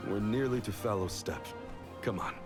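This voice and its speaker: An actor playing a vigilant, reserved swordsman in a fantasy video game, speaking in a measured, formal, serious way. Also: on the low side, close to an everyday voice. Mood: alert, firm. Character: composed, vigilant male swordsman, earnest and reserved. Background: game music.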